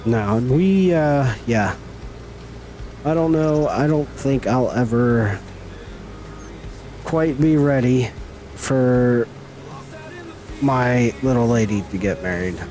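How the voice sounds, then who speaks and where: A man's voice: medium pitch, slow pace, long pauses, a little mellow, faint music behind. A middle-aged man chatting casually with viewers as he streams a game, folksy and teasing. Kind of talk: casual live-stream chat while gaming.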